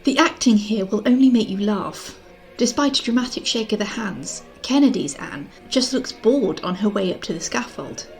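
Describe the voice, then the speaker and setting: A woman's voice: medium pitch, animated, normal speed, poor audio. A woman presents scripted critical commentary in a mid-range voice. She is sarcastic and drops in exasperated asides like a fed-up reviewer. Music plays behind her voice. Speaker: video essay host, film reviewer.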